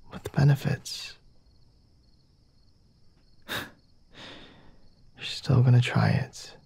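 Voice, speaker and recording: Breathy, slow-talking flirty vampire lord, acted by a man. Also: mid-range voice, dry recording, exaggerated voice. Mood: teasing, amused. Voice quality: breathy, husky, often dropping to a whisper. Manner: unhurried, formal, softly teasing, with sighs. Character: flirty, teasing, self-assured vampire lord, male. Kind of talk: ASMR roleplay, intimate dramatic monologue.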